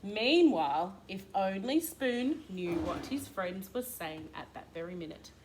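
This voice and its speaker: A woman reading a storybook aloud as a kindergarten teacher, expressive, with questions and asides to young listeners. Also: medium pitch, unhurried, rough recording. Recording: clear background noise.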